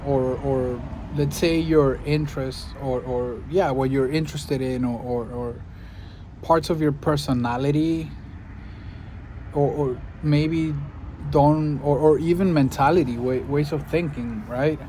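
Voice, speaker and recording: Man, Spanish speaker, unhurried, reflective, faint traffic hum behind. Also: mid-range voice, steady tone, mellow. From Venezuela. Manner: thoughtful, searching for words. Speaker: podcaster and video creator. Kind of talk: casual reflective monologue.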